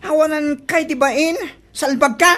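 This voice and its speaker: A woman playing a brash, sharp-tongued neighbour in a comedy audio drama, speaking with an Ilocano accent. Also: sits high, fairly even intonation, a little slow, loud music behind the voice. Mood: offended, retorting. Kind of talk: Ilocano comedy radio-style drama acting.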